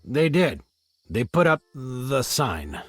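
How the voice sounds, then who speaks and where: A man playing an easygoing, gently teasing small-town police officer in a video game, with a casual, dry delivery. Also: mid-range voice, sing-song, slow, faint music behind, heavily acted. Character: easygoing male small-town cop, mildly teasing and dismissive.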